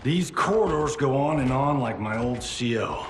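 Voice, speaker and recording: An actor playing a wry, grumbling soldier in a video game, talking colloquially. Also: male voice, mid-range voice, lively intonation, moderate pace, a little hiss or hum, lightly acted. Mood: wry complaint. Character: grumbling, wisecracking male soldier. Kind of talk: video game cutscene acting.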